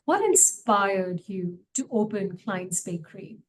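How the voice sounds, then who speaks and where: A woman's voice: mid-range voice, expressive, slow, poor audio. A woman hosting a webinar interview, giving scripted introductions and putting questions to her guests. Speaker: host, city small business official.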